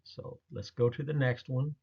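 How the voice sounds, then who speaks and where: A man talking casually through a slideshow of his own experiences, in a halting, rambling, folksy way. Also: medium pitch, steady tone, gravelly, husky. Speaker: presenter recounting a field experience. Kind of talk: casual narrated slideshow storytelling. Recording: dull lo-fi audio.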